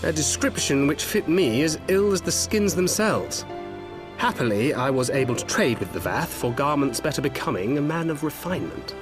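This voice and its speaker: Witty theatrical rogue over loud music. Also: male voice, on the high side, big pitch swings, moderate pace. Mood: wry, storytelling. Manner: theatrical, archaic refined phrasing. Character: roguish male adventurer, witty, theatrical, self-mocking. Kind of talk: fantasy game voice acting. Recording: music behind the voice.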